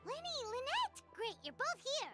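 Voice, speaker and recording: A chirpy tiny game fairy, squeaky high, with swooping lively pitch. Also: female voice, normal speed, faint music behind, heavily acted. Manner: bubbly, speaks of herself in third person. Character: tiny floating fairy companion, chirpy and excitable. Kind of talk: video game voice acting.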